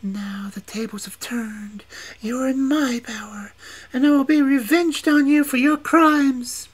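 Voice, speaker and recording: A man reading a storybook and voicing a fierce, scornful young witch maiden with a shrill, seething delivery. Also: fairly even intonation, a little slow, rough recording. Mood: vengeful. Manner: shrill, high, seething threats.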